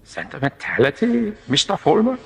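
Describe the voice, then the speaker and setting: An actor voicing a mocking, sinister phantom who questions a man in hushed tones, in a vintage television drama. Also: high voice, huge pitch swings, normal speed, gravelly, husky, exaggerated voice. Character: ghostly tempter, soft, mocking, sinister. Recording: vintage broadcast soundtrack with music.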